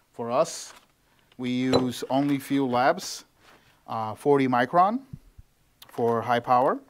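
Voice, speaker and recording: A man in his thirties, a mechanic, giving a loose, conversational how-to walkthrough in a small office, filmed on a handheld camera close to his face, with lots of ums and restarts. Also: mid-range voice. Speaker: tuning shop mechanic. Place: small office with bare walls, indoors.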